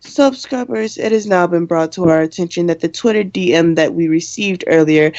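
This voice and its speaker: A woman playing a brisk, deadpan student co-hosting a campus radio show in a radio play, formal on announcements and wryly exasperated in her scolding. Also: lowish voice, vocal fry, dry recording, muffled recording. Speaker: radio co-host character. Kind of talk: radio play in campus news broadcast style.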